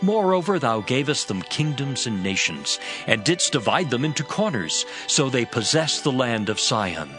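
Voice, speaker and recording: A man's voice: mid-range voice, very animated, moderate pace, few pauses, close to an everyday voice. A man playing an impassioned Levite, praying in a reverent, oratorical style for a dramatized scripture reading. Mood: reverent gratitude. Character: impassioned male Levite praising God's mercy. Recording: music bed under the voice.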